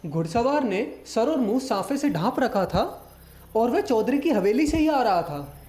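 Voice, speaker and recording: A woman's voice: on the high side, sing-song, a little slow, dark tone. A woman playing a knowing, loyal village henchman in an audio play. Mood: conspiratorial. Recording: echoey room, faint music.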